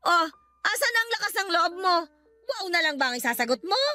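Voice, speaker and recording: A woman's voice: extremely high pitch, sing-song, a little fast, sharp tone, dry recording, faint music behind, heavily acted. A woman playing a resentful older mother in a Tagalog radio drama, talking in a sarcastic, needling way. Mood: confrontational, bitter.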